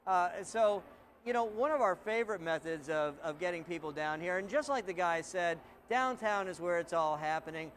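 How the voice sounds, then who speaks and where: A middle-aged man with a fairly high voice hosts a web show in a loose, chatty way, often restarting his sentences. A faint hiss of noise sits under his voice. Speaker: show host. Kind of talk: casual hosting chat.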